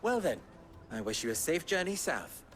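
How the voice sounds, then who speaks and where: A man's voice: on the high side, very animated, normal speed, a bit bright, slight background noise. A man playing a suave, wry underworld fixer in a video game cutscene, with arch, formal phrasing. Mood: genial, teasing.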